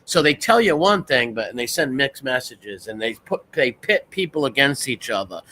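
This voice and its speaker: Blunt, bright-voiced man in his forties. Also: mid-range voice, big pitch swings, moderate pace, rough recording. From United States. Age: 40s. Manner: blunt, rhetorical, keeps asking "right?". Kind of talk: casual political chat.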